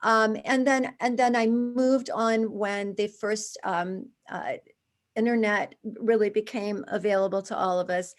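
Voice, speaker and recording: Woman, 60s, interview guest; animated storyteller who restarts and adds asides. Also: medium pitch, measured pace. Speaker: guest, charity founder. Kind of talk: conversational interview.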